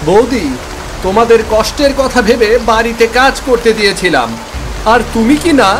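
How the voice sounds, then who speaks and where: A man's voice: extremely high pitch, normal speed. A man voicing a stern, accusing younger brother in a cartoon moral story, scolding and giving orders. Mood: accusing, angry. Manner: scolding, commanding. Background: music.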